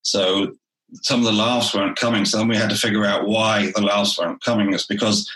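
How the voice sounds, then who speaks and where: A man who is a theatre director, talking through his work in a relaxed interview and often restarting his phrases. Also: fairly low pitch, brisk, echoey room, poor audio.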